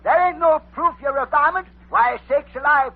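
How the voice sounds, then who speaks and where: An actor playing a folksy, insistent cowboy who sputters in an American Western drawl, in a radio western. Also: male voice, very high voice, moderate pace. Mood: surprised, scolding. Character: folksy cowboy stranger reasoning with a wild boy. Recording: tinny vintage radio recording.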